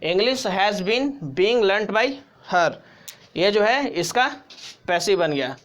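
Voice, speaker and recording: Slow-paced Indian man teaching grammar. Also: high-pitched, expressive, a bit bright. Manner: instructional, repeats key points. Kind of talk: step-by-step tutorial explanation.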